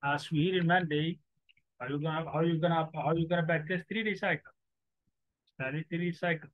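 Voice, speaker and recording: Man teaching, halting. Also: fairly high pitch, fairly even intonation, no room sound. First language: Somali. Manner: halting, repeats phrases, broken English. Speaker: forex trading teacher. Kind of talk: informal teaching monologue. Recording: dry, slightly dull lo-fi recording.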